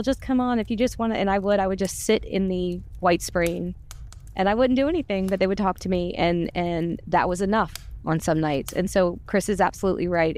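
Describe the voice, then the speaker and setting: A woman's voice: on the low side, a little mellow. A woman in her forties with an American accent tells personal stories in a casual, chatty way, as a voice actor on a podcast. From United States. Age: 40s. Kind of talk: casual conversational storytelling.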